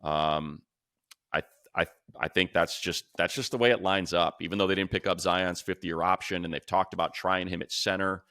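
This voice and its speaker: A man's voice: deep voice, steady tone, moderate pace, pushed and bright, no echo, studio quality. A man hosting a sports talk show, casually analysing in an emphatic, conversational way and repeating points for stress.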